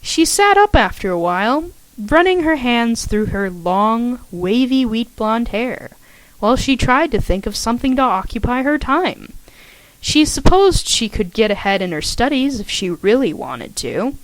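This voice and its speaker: A woman reading her own fanfiction aloud as a podfic, in a lively storytelling way. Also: a little high, a little slow, no echo, low-quality recording.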